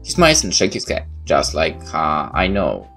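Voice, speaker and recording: A man hosting a let's play, reading a visual novel aloud with expressive storytelling and casual asides. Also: a bass voice, normal speed, creaky. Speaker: let's play host and narrator. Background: game music.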